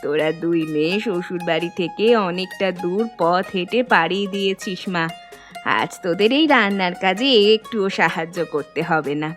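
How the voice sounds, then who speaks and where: A woman voicing a kindly older village mother, gentle and doting, in an animated Bengali family story. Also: lowish voice, moderate pace, faint music behind, close to an everyday voice.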